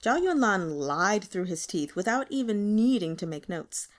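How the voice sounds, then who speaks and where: A woman narrating a novel as an audiobook reader, in an expressive scripted style. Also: mid-range voice, moderate pace.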